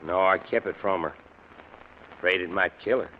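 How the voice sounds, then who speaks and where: A man's voice: deep voice, normal speed, natural voice. An actor playing a dutiful, gloomy mountain man with a folksy rural American accent in a radio drama. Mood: glum, confiding. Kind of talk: old-time radio drama acting. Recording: muffled old radio recording with hiss.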